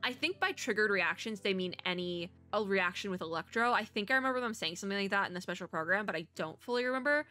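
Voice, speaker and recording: A woman with a bright, forward voice talks casually through a game reaction. She is animated and rambling, thinking out loud as she switches between reading and commenting. Music plays behind her voice. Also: medium pitch, quick pace, few pauses. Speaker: VTuber reaction video host.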